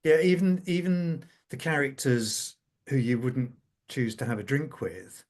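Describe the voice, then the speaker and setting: A man's voice: mid-range voice, unhurried, darkish tone. A man talking conversationally as a scriptwriter on a panel, repeating words for emphasis. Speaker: radio drama scriptwriter, panel guest.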